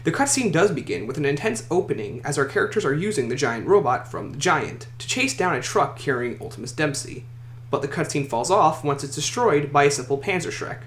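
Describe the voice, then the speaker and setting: A man's voice: a little high, fairly bright tone. A man hosting a countdown list video, reading scripted commentary and opinion. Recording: echoey room, faint noise.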